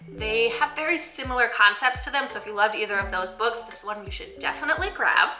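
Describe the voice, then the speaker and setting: Bright, higher-pitched woman presenting to viewers. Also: reverberant. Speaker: host of a picture book channel for teachers. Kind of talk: scripted-from-notes book review vlog addressed to viewers.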